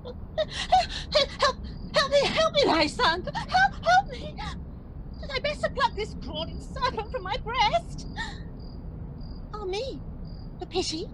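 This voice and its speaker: A frightened young noblewoman played by a woman speaks extremely high and slowly. It is theatrical Shakespearean radio acting, with clear night noise around her. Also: sing-song, full character voice. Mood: terrified. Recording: noisy night ambience with insects.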